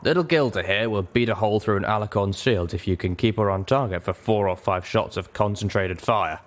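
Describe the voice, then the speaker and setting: A man's voice: on the low side, brisk, natural voice. A man voicing a grateful, brash female griffin gunner in a story reading. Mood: insistent.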